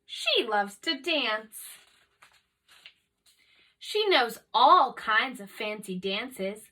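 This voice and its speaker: A woman reads a children's story aloud in a high, bright voice. She takes it slowly and clearly shapes each line for young listeners. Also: very animated, far from the mic. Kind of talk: animated children's read-aloud narration.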